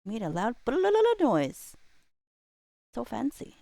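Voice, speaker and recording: A woman chats casually as a livestream host, rambling and playful as she mutters to herself. Her pitch moves around a great deal, often dropping into vocal fry. The sound is close and dry. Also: animated, takes it slowly, mellow, no echo. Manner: rambling, talks to self while working, playful.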